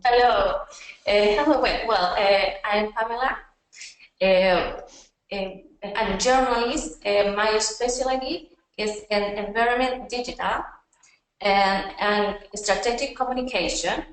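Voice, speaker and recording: A woman's voice: medium pitch, measured pace. A woman from Chile, a journalist, introducing herself nervously and hesitantly with many fillers. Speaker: journalist and content manager. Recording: very echoey room audio.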